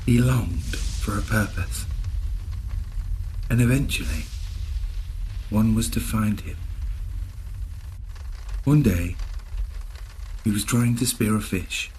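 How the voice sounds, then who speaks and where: An actor playing a calm, mysterious privateer captain in a horror audio drama, speaking in a measured, solemn storytelling manner. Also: male voice, on the low side, a little hiss or hum, poor audio. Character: calm, mysterious old privateer captain offering cryptic help.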